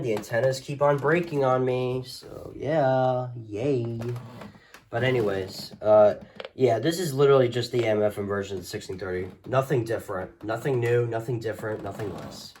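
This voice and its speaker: A man's voice: mid-range voice, moderate pace, darkish tone. A man giving a casual, unscripted show-and-tell as a video host, rambling hesitantly and often correcting himself. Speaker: host of a radio collection video. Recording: noisy home recording with clicks.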